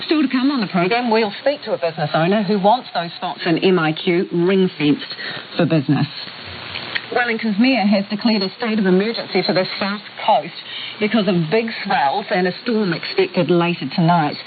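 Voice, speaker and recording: Tinny shortwave radio, clear hiss, female news host, New Zealand accent, live presenting. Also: mid-range voice, animated, moderate pace.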